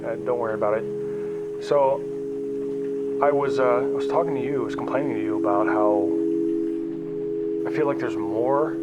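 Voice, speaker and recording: A man playing a restless, frustrated explorer in an audio drama, speaking earnestly in halting, repeated phrases. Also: mid-range voice, lightly acted, low-quality recording. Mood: persuading. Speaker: narrator and lead character. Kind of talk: audio drama acting, conversational monologue and dialogue. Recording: music behind the voice.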